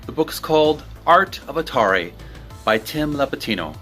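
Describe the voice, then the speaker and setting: A man reading a book aloud for his video channel in an emphatic, deliberate way, occasionally stumbling over words. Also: a little high, sing-song, fairly bright tone, faint music behind.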